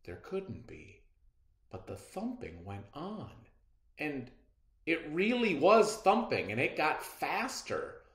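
A middle-aged man narrates a children's story with deliberate drama. His fairly high voice swings widely in pitch and moves at a slow pace. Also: huge pitch swings, a bit bright. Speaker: read-aloud narrator. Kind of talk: expressive storybook reading. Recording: roomy echo.

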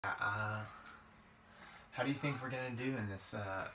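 A man's voice: on the low side, measured pace, background noise. A young man doing casual gameplay commentary in a hesitant, rambling, informal way. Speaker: gaming video creator. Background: guitar music.

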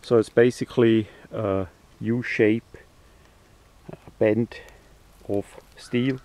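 A non-native English-speaking man giving a casual hands-on demonstration outdoors, halting often mid-phrase. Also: fairly low pitch, fairly even intonation, mellow. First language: not English. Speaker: presenter of a DIY radio antenna video. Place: outdoors in windy weather. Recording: outdoor camera audio with faint noise.

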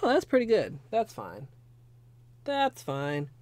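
Hoarse, chatty young woman. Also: sits low, wildly swooping pitch, slow pace, darkish tone, no room sound. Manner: chatty, rambling, many "like" fillers. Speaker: nail art livestreamer. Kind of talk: casual livestream tutorial. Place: small home room with space heater.

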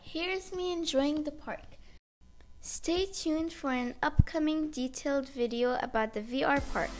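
A female vlogger speaks in a high-pitched voice, narrating a travel video. Soft music sits faintly behind her. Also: a little slow. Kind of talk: vlog narration.

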